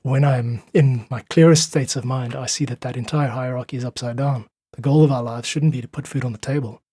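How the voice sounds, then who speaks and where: Husky, earnest man. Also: mid-range voice, fairly even intonation, a little fast, warm tone, dry recording, studio quality. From South Africa. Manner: earnest, philosophical, emphatic repetition. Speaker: podcast guest, independent musician. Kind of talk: reflective casual conversation.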